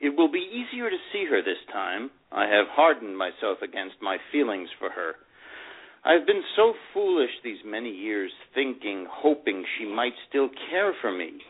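A man's voice: lowish voice, big pitch swings, normal speed, breathy edge. A man playing a proud, lovelorn older divorced man, reading his memoir in an earnest storytelling manner. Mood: guarded longing. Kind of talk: dramatic first-person memoir reading. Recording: phone-like narrow radio sound.

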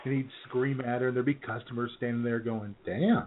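Easygoing male podcast co-host, mid-pitched, phone-thin audio, laughs often. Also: steady tone, a little fast, narrow, tinny audio. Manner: laid-back, laughing storyteller. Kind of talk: casual chat.

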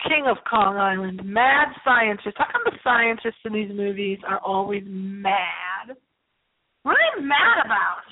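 Lady radio host rambling away all playful and theatrical, highish voice, thin phone-like sound. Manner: playful, theatrical, drawn-out asides. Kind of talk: rambling casual live show monologue. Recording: thin, phone-like internet broadcast audio.

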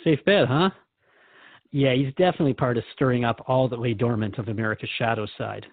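A man's voice: medium pitch, fairly quick, no echo, narrow, tinny audio. A man hosting a reflective spiritual conversation, asking earnest questions as he thinks aloud. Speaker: podcast host questioning a spiritual guide.

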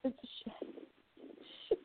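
A woman playing an earnest young 1920s gossip columnist in a radio play, speaking with theatrical sincerity. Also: drawn-out words. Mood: grieving. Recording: thin phone-like sound.

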